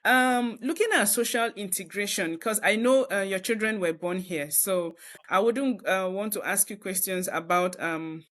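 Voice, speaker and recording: Host: Nigerian-accented woman, mid-pitched, measured questions, lots of "yeah". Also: a bit bright. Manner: frequent short agreeing replies, measured questions. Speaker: interviewer. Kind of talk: casual interview.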